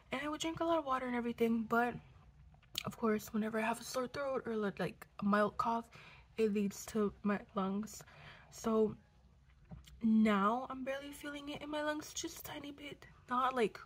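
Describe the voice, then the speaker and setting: Congested young woman, hoarse and chatty. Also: a little high. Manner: chatty, swearing, coughs between lines. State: congested and sick. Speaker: vlogger. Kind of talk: casual chat to camera. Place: living room at home. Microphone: camera close to face.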